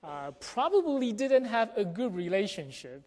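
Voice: mid-range voice, big pitch swings, unhurried, darkish tone. Pastor addressing a congregation in a reverberant hall. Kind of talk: church announcements and sermon. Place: church sanctuary during a service.

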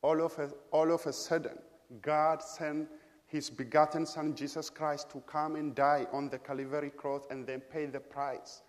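A man from Ethiopia whose first language is Amharic, preaching a sermon as a guest preacher in a church, speaking with an Ethiopian accent in a measured, halting way with frequent pauses. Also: on the high side, flat delivery, long reverb tail. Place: church sanctuary.

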